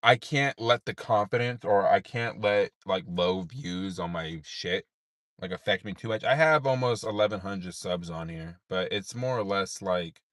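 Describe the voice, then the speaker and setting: A tired man with a deep voice, rambling in a laid-back way with many fillers while livestreaming from his parked car at night. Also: a bit bright, dry recording, studio quality. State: tired, unable to sleep. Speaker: streamer. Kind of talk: late-night livestream chat.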